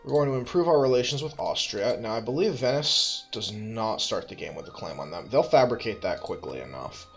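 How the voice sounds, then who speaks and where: A man's voice: fairly low pitch, lively intonation, fairly quick, dull audio, faint music behind. A man thinking aloud as he plays a strategy game, musing, correcting himself and making dry asides. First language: English. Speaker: let's play host.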